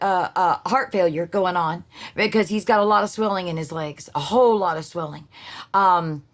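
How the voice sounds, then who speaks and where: A woman who hosts her own channel, giving a blunt, sarcastic political monologue full of profanity and restarts. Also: medium pitch. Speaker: political commentary host. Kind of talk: casual opinion monologue.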